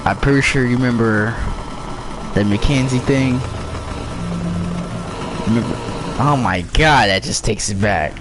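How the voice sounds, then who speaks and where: A man's voice: medium pitch, unhurried, long pauses, background noise. A young man streaming a racing game, chatting loosely with friends and joking as he tells stories. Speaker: gaming streamer. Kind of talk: casual chat while playing a racing game.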